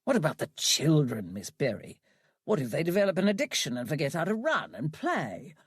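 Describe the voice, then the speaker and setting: A woman's voice: low voice, big pitch swings, a little fast, breathy edge, no room sound, studio quality, full character voice. Woman acting pompous older radio boss. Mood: pompous, indignant. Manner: pontificating, pompous. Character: pompous, pipe-smoking older male radio drama boss. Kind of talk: audiobook character voice.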